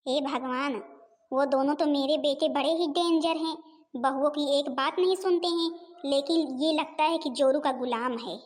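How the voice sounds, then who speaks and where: Woman playing a high-voiced nagging mother-in-law. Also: fairly even intonation, normal speed, lightly acted. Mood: complaining. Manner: scolding, taunting, repeats phrases mockingly. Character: stern, nagging middle-aged Indian mother-in-law, domineering and sarcastic. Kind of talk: family comedy cartoon dialogue.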